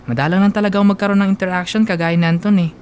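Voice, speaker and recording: A man's voice: high-pitched, fairly even intonation, racing, darkish tone, slight background noise. A man with a Filipino accent narrating a first-person love story as a radio host.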